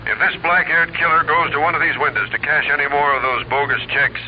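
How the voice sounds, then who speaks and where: A man's voice: fairly high pitch, flat delivery, brisk, close to an everyday voice. Man acting a determined, earnest police inspector. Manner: clipped, earnest reporting. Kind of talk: 1940s radio crime drama acting. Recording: muffled, faintly noisy 1940s radio recording.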